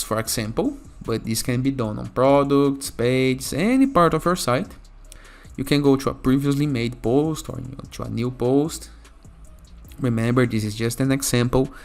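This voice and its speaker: Lower-voiced male tutorial host over music. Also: unhurried. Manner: instructional, often checking with "okay?". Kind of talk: software tutorial narration.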